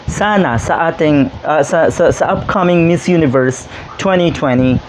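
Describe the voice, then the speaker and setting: A man's voice: fairly high pitch, normal speed. A man with a Filipino accent giving chatty, opinionated video commentary, throwing out emphatic rhetorical questions as host. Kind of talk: informal video commentary, mixing Tagalog and English. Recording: dull lo-fi sound, faint background noise.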